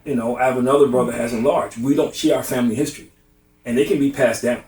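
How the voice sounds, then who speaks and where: A man's voice: mid-range voice, steady tone, fast, a little mellow. A middle-aged man in a candid group discussion, giving earnest, emphatic advice. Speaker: discussion participant. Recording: roomy, distant mic.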